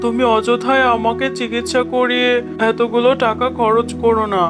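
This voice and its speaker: A man's voice: very high voice, little pitch movement, normal speed, over music. A man voicing an ailing, resigned, loving father fox in a cartoon, speaking sorrowfully. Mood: sorrowful resignation.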